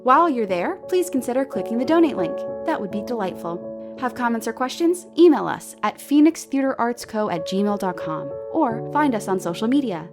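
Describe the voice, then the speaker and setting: Female credits announcer, mid-pitched with animated intonation, scripted read over prominent guitar music. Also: moderate pace. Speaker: announcer reading show credits. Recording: loud guitar music behind voice. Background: loud guitar music.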